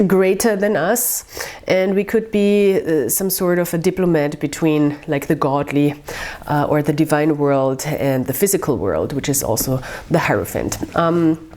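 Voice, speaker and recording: A woman with a cold and a stuffy nose, giving a casual, unhurried tarot reading with many pauses and fillers. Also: sits low. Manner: dreamy, unhurried, lots of ums, warm reassurance. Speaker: tarot reader.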